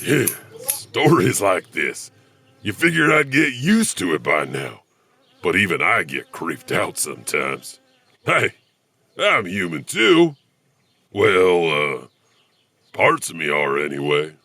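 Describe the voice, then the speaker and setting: A man puts on a creepy janitor who hosts a horror anthology, no longer quite human. His low voice is slow and forceful, with chuckling, self-deprecating asides between the tales. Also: sing-song, creaky, breathy edge, heavily acted. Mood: sardonic, chuckling. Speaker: host and storyteller of horror anthology. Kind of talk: scripted horror story narration.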